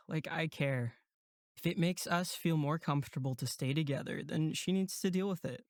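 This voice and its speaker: A woman's voice: sits low, normal speed, darkish tone, no echo, natural voice. A woman voicing a protective, dry-humored young male camp counselor in an audio drama, casual and reassuring. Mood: firm, casual. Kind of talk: horror audio drama acting.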